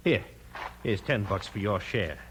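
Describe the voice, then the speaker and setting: An actor playing a slick, bullying cowboy outlaw leader in 1950s radio western style, full of folksy slang. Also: male voice, fairly low pitch, expressive, measured pace. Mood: smug, persuasive. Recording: noisy old 1950s radio recording.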